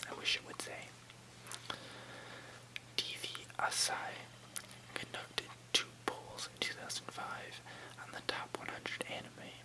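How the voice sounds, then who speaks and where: A person whispering in a breathy, unvoiced voice, softly reading aloud in unhurried phrases as an ASMR host. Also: low-quality recording.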